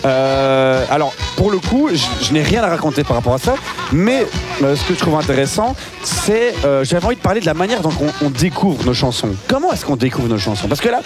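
A man co-hosts a community radio show with a jokey, teasing manner. His voice swoops up and down lively and sits slightly high. Music plays underneath the studio chat. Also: a little fast. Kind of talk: casual on-air chat. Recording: music playing behind the talk.

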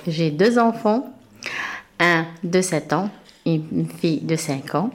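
A woman's voice: on the low side, takes it slowly. A woman, introducing herself in short, simple sentences. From Algeria. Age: 40s.

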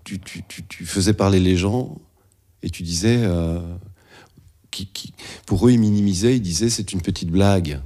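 Interviewer guy with a really low husky voice, pushes back gently on answers. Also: moderate pace. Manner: probing, gently challenging. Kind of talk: interview questions.

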